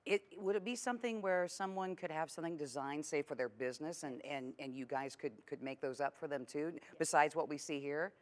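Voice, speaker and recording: American female TV host, low register, casual studio interviewer. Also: steady tone, a little fast, few pauses. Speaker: TV show host.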